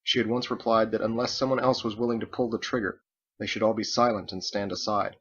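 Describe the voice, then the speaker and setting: A man's voice: lowish voice, flat delivery, fast talker, fairly bright tone, slightly rough, highs cut off. A man reading his own book as scripted audiobook narration.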